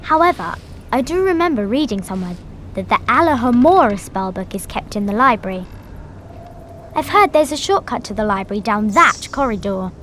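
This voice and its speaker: A woman's voice: on the high side, animated, a little fast, a bit bright, a little hiss or hum. An actress playing a bossy, bookish young schoolgirl witch in a video game, with an emphatic, lecturing way of talking. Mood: urgent, instructing. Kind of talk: video game cutscene acting.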